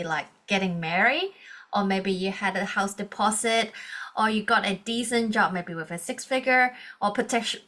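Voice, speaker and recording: A woman's voice: mid-range voice, sharp tone. A woman in her thirties from Hong Kong, speaking English with a Hong Kong accent as a non-native speaker, earnestly telling her personal story as a podcast guest over a video call, with frequent restarts. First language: Cantonese. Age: 30s. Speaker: guest, leadership coach.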